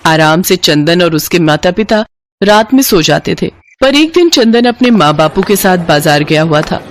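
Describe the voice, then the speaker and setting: A woman's voice: on the low side, expressive, normal speed. A woman narrating a scripted children's moral story.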